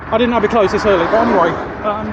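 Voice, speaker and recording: Male walking vlogger, southeast English, high-pitched, rambling and hesitant, handheld mic beside noisy roads. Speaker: vlog host. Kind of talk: casual chat to camera while walking. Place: outdoors walking along roads. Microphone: handheld external microphone. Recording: muffled outdoor street audio, loud traffic noise.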